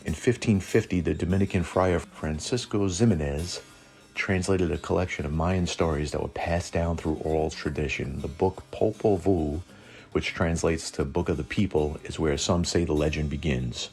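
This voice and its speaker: A man with a very low, gravelly voice narrates scripted lore as a host. He slips dry, joking asides into the delivery, with music playing underneath. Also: moderate pace, a little mellow.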